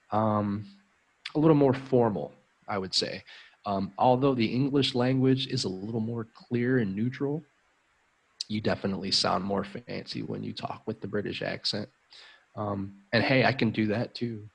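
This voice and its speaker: A man's voice: fairly low pitch, gravelly, slightly rough, rough recording. An American man hosting a live stream as an English tutor, chatting in a friendly, upbeat way with frequent ums. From United States. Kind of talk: casual live-stream chat.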